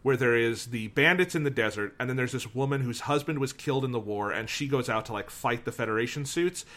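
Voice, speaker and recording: A man co-hosting a casual review discussion, talking in an animated way and laughing as he tells a story. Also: mid-range voice, brisk. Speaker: podcast co-host.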